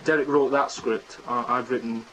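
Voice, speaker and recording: Young northern lad from the chatting in an interview, little bit of hiss. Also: male voice, mid-range voice, fairly even intonation, moderate pace, fairly bright tone, rough recording. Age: 20s. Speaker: interview guest, amateur radio drama writer. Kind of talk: casual interview chat.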